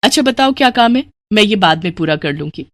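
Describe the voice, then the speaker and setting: A woman's voice: mid-range voice, lively intonation, fairly quick, no echo, close to an everyday voice. A woman playing a cheerful, sweet and eager young woman in an audio story. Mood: cheerful, coaxing.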